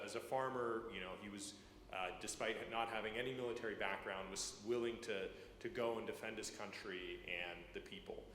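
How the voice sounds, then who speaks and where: A man in his thirties from Ukraine, speaking as a guest to a church congregation, halting with many fillers and repeated words. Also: on the low side, a bit bright. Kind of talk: personal testimony to a church congregation. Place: church sanctuary. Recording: echoey church hall, faint room noise.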